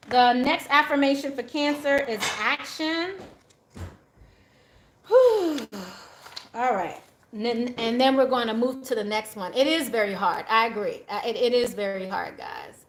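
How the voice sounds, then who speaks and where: A woman's voice: on the high side, takes it slowly, long pauses, a bit bright, roomy sound, a little hiss or hum. A woman giving a casual, chatty tarot reading to her viewers, laughing often as she goes. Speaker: tarot reader.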